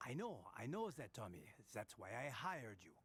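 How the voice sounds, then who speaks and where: A man's voice: mid-range voice, big pitch swings, normal speed, fairly bright tone, husky, full character voice. An actor playing an agitated, brusque criminal man in an audio drama game, with faint noise behind him. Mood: impatient, bossy. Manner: brusque, demanding. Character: agitated, impatient, bossy criminal man on the phone. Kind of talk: radio drama game acting.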